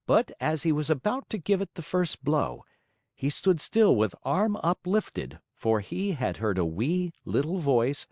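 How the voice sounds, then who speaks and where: A male audiobook narrator reads a children's tale with theatrical emphasis. His pitch is medium and swings very widely, his pace is unhurried, and the recording is dry. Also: sing-song, a little mellow, no echo. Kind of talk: expressive children's storybook narration.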